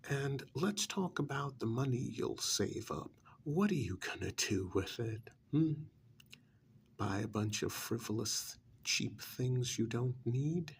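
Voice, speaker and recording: Slow, gravelly, sardonic vampire recruiter played by a man. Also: mid-range voice, animated, slight background noise. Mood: sardonic, needling. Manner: sardonic, rhetorical, drawn-out pauses and prodding questions. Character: cynical male vampire's recruiter, sardonic and persuasive. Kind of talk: ASMR role-play monologue.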